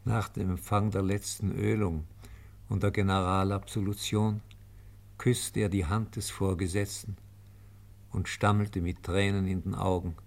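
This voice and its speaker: A man narrating a scripted radio play in a solemn, measured storytelling manner. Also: sits low, flat delivery, gravelly.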